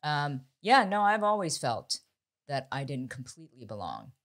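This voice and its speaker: A woman's voice: sits low, wildly swooping pitch, moderate pace, fairly bright tone. An American woman in her fifties talking candidly and emphatically as the host of a conversational panel. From Ohio, American Midwest. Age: 50s. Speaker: talk show host and journalist.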